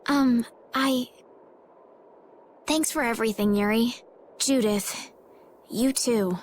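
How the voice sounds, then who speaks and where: An actress playing a polite, earnest young maiden in a video game, with a soft, hesitant, courteous manner. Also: female voice, a little high, takes it slowly, a little hiss or hum. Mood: hesitant, grateful. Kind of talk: video game cutscene acting.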